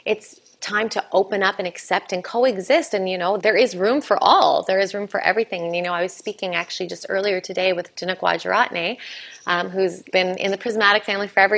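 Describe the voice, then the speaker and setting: Earnest woman, festival director, speaking slightly low in an unscripted interview. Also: fairly bright tone. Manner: earnest, many restarts and fillers. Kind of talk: conversational interview answer.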